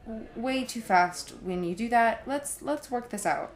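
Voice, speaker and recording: A woman's voice: fairly high pitch, animated, a little slow. A woman acting a protective, reassuring young woman in a naturalistic audio drama. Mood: worried.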